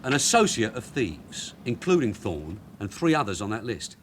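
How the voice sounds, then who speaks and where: An actor playing a shrewd, blunt middle-aged police detective sergeant in a radio drama. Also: male voice, lowish voice, very animated, moderate pace, slight background noise. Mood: brisk, sardonic. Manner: blunt, dry, probing.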